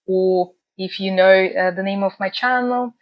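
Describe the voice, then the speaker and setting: A woman's voice: medium pitch, fairly even intonation, dull audio. A woman, speaking earnestly and thoughtfully to her book channel's viewers. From Russia. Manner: earnest and measured, with frequent "uh" pauses. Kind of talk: reflective talk to camera. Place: home in the UK.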